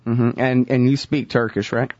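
A man's voice: mid-range voice, level tone, moderate pace, darkish tone, dry recording, muffled recording. A man hosting a radio interview, asking probing questions in a casual way with some fillers. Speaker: radio show host.

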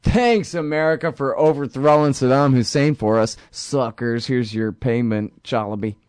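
A man's voice: mid-range voice, moderate pace, darkish tone, smooth, no echo, studio quality, close to an everyday voice. A man voicing an imagined foreign politician who sarcastically taunts and mocks. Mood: mocking.

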